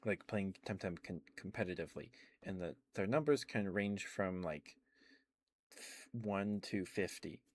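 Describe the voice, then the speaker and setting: Deep-voiced man rambling in close, dry ASMR. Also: no echo. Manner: halting, rambling delivery with stammered restarts. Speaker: livestream host. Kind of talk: casual rambling ASMR game chat.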